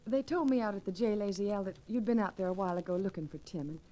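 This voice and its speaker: A woman's voice: mid-range voice, fast talker, mellow, close to an everyday voice. An actress playing an earnest, polite young ranch woman in an old Western radio drama.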